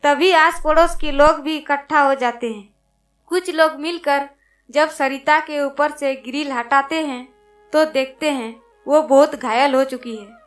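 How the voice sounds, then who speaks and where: High-pitched woman narrating a moral story. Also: steady tone, unhurried, faint music behind.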